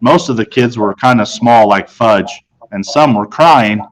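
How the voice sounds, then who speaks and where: A man's voice: medium pitch, a little slow, rough recording. A man narrating a children's novel aloud, as a storybook read-aloud.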